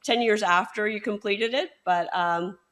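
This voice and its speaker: A woman's voice: mid-range voice, unhurried, a bit bright. A woman hosting a book interview, giving short friendly replies and a closing thank-you. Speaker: podcast host and bookseller. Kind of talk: casual interview chat.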